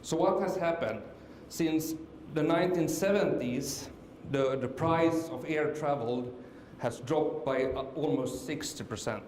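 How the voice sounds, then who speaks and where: A man's voice: fairly high pitch, a little slow, few pauses, a little mellow. Finnish man presenting in an echoey hall. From Finland. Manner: hesitant, many fillers and restarts. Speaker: conference speaker. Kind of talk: conference presentation. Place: conference hall. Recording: echoey hall with faint noise.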